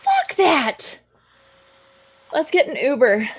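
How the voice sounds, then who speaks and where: A woman's voice: high-pitched, wildly swooping pitch, slow pace, heavily acted. A woman playing a frustrated young commuter who swears after missing her train. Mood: exasperated. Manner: swearing, exasperated. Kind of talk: stage play performed as audio.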